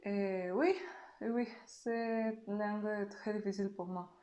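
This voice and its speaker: A woman from Mexico, a native Spanish speaker with a Mexican Spanish accent, answering interview questions slowly and carefully. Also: medium pitch, fairly even intonation, mellow, reverberant. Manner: slow, careful speech with pauses.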